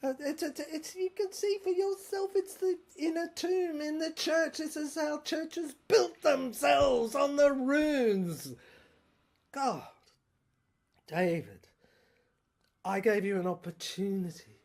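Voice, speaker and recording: A woman's voice: high-pitched, slow, creaky, exaggerated voice. A woman playing a ranting, scheming, power-mad brother and corrupt prosecutor in an audio drama, with a theatrical, manic, rambling delivery. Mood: self-justifying. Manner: theatrical, manic rambling, swinging from shouting to muttering.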